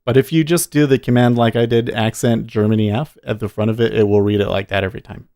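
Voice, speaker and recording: A man's voice: mid-range voice, steady tone, quick pace, mellow, no room sound, studio quality. A man hosting a day trading livestream, chatting casually and thinking aloud, often muttering to himself between points.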